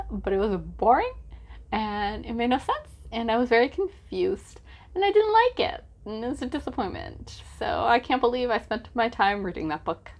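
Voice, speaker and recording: A woman talking casually as a book vlogger, informal and gushing, with lots of filler asides. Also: a little high. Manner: informal, hesitant with frequent "like" asides, gushing emphasis. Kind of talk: casual chatty book vlog.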